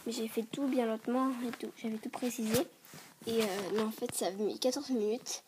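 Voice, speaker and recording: A woman with a stuffy voice from a cold, rambling quickly through a casual show-and-tell vlog and often dropping to a whisper. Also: a little high, steady tone. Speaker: vlogger showing a toy dollhouse. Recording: clear background noise and clicks.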